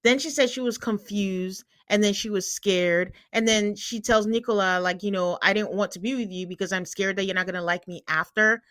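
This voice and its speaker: A woman's voice: medium pitch, moderate pace, hardly any pauses, fairly bright tone, no echo. A woman whose first language is not English, co-hosting a podcast and chatting casually about a reality show, with frequent fillers and laughs. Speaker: podcast co-host.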